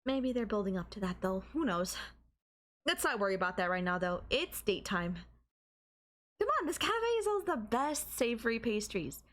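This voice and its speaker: A woman's voice: mid-range voice, sing-song, normal speed, a bit bright. Coy, teasing young girlfriend played by a woman. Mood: coy confiding. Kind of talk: intimate anime-style audio roleplay.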